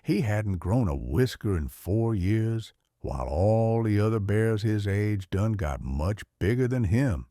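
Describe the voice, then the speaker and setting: A man with a Southern US accent reading a children's storybook aloud in a folksy, expressive way. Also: on the low side, a little slow, few pauses. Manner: folksy, animated.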